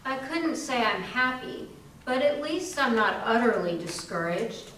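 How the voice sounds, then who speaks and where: A woman's voice: a little high, expressive, measured pace, a bit bright. Woman playing a resigned, resolute woman, very echoey. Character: ailing young working woman, resigned but resolute. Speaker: community theatre performer. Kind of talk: readers theater dramatic narration. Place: library readers theater performance, indoors. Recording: very echoey hall, distant, faint hiss.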